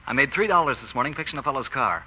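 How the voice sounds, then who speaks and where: A cocky, easygoing young mechanic, played by a man. He talks fast with a bouncy voice. It sounds like a dull old radio broadcast with faint hiss. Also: medium pitch, lively intonation, natural voice. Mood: breezy. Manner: breezy, teasing. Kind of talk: 1940s radio acting.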